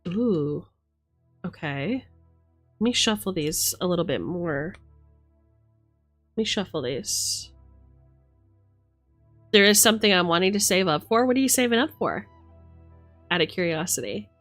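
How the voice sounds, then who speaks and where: A woman's voice: lowish voice, expressive, measured pace, pauses often, fairly bright tone, soft background music. A woman livestreamer chatting casually and affectionately, thinking aloud in a playful way as she reads tarot cards.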